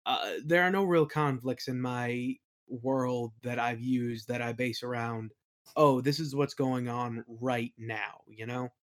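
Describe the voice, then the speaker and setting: A man's voice: mid-range voice, steady tone, takes it slowly. A man answering as an interview guest in a casual conversational style, often hesitant as he thinks aloud. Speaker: interview guest, audio play creator.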